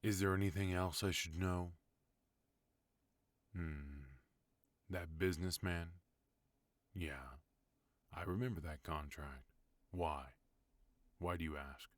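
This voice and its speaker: A man voicing a ruthless mafia boss who is also a husband, in an ASMR audio roleplay. His voice is extremely deep, and he drawls slowly with cold, measured menace. The sound is dry and close to the mic. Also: no room sound. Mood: cold, threatening.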